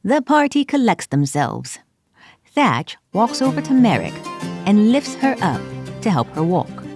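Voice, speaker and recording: A woman's voice: mid-range voice, wildly swooping pitch, measured pace. A woman narrating a tabletop fantasy adventure as game master, in dramatic scripted storytelling style. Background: music.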